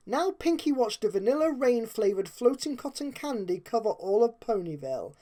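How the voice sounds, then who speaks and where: Animated woman narrating a story. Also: medium pitch. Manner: storytelling, dramatic emphasis. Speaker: fan fiction narrator. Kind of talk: expressive scripted story narration.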